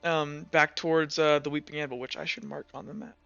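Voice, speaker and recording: A man acting as game master, narrating and improvising in a casual, halting, conversational way. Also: fairly high pitch, level tone, vocal fry, muffled recording, music in the background.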